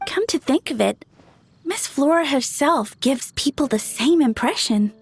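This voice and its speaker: A woman's voice: high-pitched, lively intonation, measured pace, soft background music. A woman voicing a timid young flower shop girl in a video game, sweet, eager to please and easily flustered. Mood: polite, chatty. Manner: nervous, sweet, stammering when flustered.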